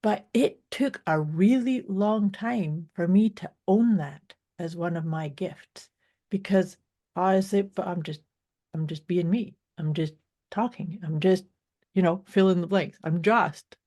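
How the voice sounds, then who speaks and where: A woman with a calm, soothing voice, co-hosting a podcast. She talks in a reflective, easygoing way and laughs easily. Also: lowish voice, a little slow. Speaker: podcast co-host. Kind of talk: casual podcast chat.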